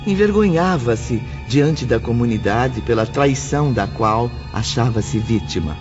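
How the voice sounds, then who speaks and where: Slow, solemn male audiobook narrator. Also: medium pitch, animated. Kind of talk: scripted dramatic audiobook narration. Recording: dull lo-fi audio under loud music. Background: music.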